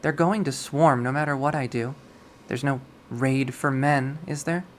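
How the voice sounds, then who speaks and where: A reader playing a possessive young woman narrates a dark visual novel in a measured, wistful tone. She sounds obsessive and coldly cruel, at a middling pitch. There is faint noise in the recording. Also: fairly even intonation, close to an everyday voice. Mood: chillingly matter-of-fact. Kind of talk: dramatic visual novel readthrough.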